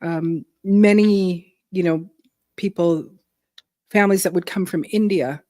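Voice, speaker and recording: A middle-aged woman from Canada hosting a casual live stream, chatty, laughing often and clearing her throat. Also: lowish voice, steady tone, unhurried, a little mellow, dry recording. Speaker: host.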